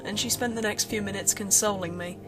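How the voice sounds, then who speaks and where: A woman's voice: medium pitch, fairly even intonation, brisk, rough recording. Woman narrating horror fiction over music. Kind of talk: scripted horror story narration.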